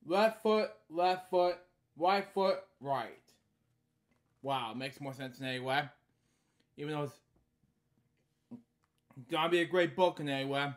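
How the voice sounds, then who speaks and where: A man in his thirties with a somewhat higher-pitched voice reads a children's book at home. He delivers it in short, halting phrases. Between them he drops casual, repetitive asides as the host of a homemade story series. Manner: halting, repeating stock phrases between short read lines. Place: small indoor room at home.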